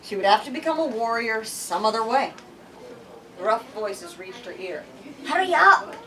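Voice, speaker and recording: A woman reading a story aloud to a group in an expressive, emphatic way. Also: on the high side, normal speed. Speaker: bookstore lit talk leader reading aloud. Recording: distant microphone, room with audience noise.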